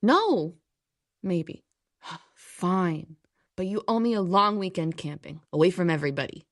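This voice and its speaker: A woman's voice: lowish voice, animated, measured pace, dry recording, studio quality. A woman playing a grudging, exasperated young daughter and mechanic in an audio drama, with a sarcastic, grumbling manner. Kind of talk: audio drama acting and narration. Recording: music under the voice.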